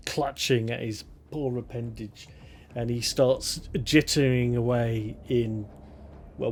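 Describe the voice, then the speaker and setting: A man with a Yorkshire accent, narrating and explaining rules as game master in a relaxed, chatty way. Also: mid-range voice, a little hiss or hum.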